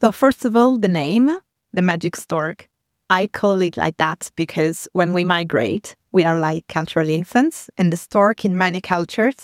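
A woman's voice: fairly low pitch, normal speed, dry recording, studio quality. A woman guest explaining her migrant support service in a reflective interview. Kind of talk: thoughtful interview talk.

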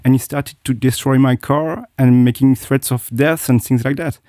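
Man, middle-aged, hesitant guest, warm voice. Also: mid-range voice, steady tone, moderate pace, dry recording, studio quality. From South Korea. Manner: hesitant, many fillers and restarts. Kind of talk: interview, personal account.

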